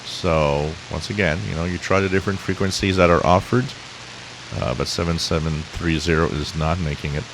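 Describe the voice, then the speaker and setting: A man with a very low, gravelly voice gives an informal tutorial. He takes his time and thinks aloud as he explains, like a hobbyist showing how he does things. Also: fairly even intonation, a little fast, noisy background. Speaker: shortwave radio hobbyist presenting a tutorial. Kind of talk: casual explanatory screen-share tutorial.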